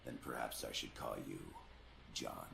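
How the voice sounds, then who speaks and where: Creaky, extremely deep scheming agent. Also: male voice, heavy background noise, exaggerated voice. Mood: sly. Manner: measured, theatrical, formal. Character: older, sly, calculating Soviet agent posing as professor. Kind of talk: video game voice acting. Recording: echoey, loud music behind.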